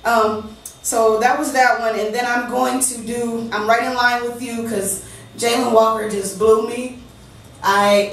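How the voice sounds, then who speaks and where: A woman performing spoken word poetry with a rhythmic, emphatic delivery and loose, conversational asides between pieces, at a small living-room poetry venue. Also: mid-range voice. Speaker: featured poet. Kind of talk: spoken word poetry with casual stage banter. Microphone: room recording from a distance. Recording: very echoey room, distant mic, faint hum.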